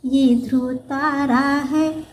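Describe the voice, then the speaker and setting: A woman poet sings her self-written verses at a very slow, drawn-out pace. Her voice sits high, and the room adds a clear echo. Faint music plays underneath. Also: fairly even intonation. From India. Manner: slow melodic singing with repeated refrains. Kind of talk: singing a self-written song.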